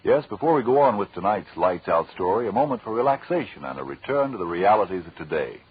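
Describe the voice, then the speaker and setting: A man announcing on 1940s radio, delivering story links and sales pitches with dramatic emphasis. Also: sing-song, fast, breathy edge. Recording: dull, lo-fi old radio recording.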